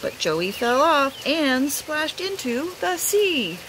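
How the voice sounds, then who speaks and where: Animated, unhurried woman reading aloud. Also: sits high. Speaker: teacher reading a picture book to pupils. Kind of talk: expressive read-aloud storytelling. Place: outdoors under a roof in a garden. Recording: rain noise behind the voice.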